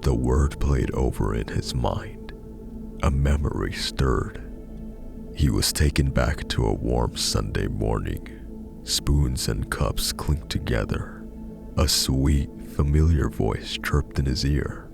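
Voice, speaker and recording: A man reading a scripted horror story as narrator, in a measured, dramatic way. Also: rumbling low, vocal fry. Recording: music behind the voice.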